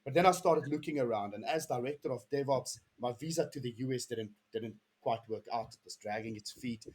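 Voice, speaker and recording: A man's voice: medium pitch, a little fast, a little mellow, breathy edge. A man in his thirties with a South African accent, a podcast guest telling his story in an earnest, rambling way with many restarts. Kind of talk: casual interview chat.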